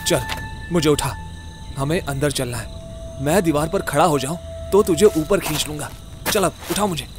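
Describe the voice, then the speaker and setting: A man's voice: fairly high pitch, moderate pace, music in the background, close to an everyday voice. A man playing an irritable, bossy ghost-case investigator who swears at his partner in a Hindi horror-comedy audio drama. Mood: hushed urgency. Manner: bossy, exasperated, foul-mouthed.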